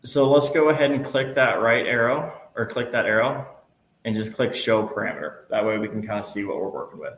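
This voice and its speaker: A patient male instructor leads a live class through each click of a software tool. His voice carries a roomy echo, with a faint hiss underneath. He reassures students often. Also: mid-range voice, a little fast. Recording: echoey room, faint noise.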